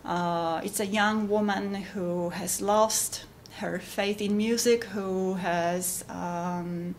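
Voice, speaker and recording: A middle-aged woman with a Polish accent, talking informally and haltingly about her own work as a composer. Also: mid-range voice, fairly even intonation, a little hiss or hum. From Poland. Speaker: composer giving updates. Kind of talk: informal monologue to camera.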